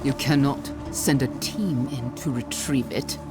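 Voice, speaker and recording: A man playing a cold, fanatical research leader in a game, speaking in a measured, zealous way. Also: mid-range voice, loud music behind the voice, close to an everyday voice. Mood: cold, insistent. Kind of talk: scripted game cutscene acting.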